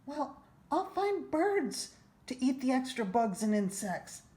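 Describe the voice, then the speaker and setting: A woman voicing an eager, imaginative young boy in a children's storybook, earnest and enthusiastic with drawn-out phrasing. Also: high voice, sing-song, mellow, pitched above the performer's own voice, full character voice. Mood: hopeful, dreamy. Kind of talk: children's storybook read-aloud. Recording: roomy echo, faint background noise.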